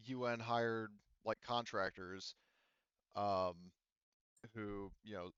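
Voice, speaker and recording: Male game master, low smooth voice, hesitant conversational explaining, close dry sound with slightly dulled top end. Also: a bit bright, no echo, lo-fi sound.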